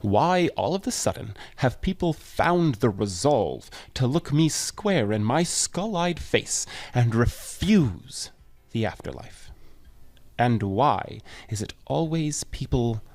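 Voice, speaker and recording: An actor playing Death as a wry, weary grim reaper, complaining conversationally in a comedic audio drama. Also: male voice, mid-range voice, expressive, slow, a little mellow. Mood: puzzled, probing.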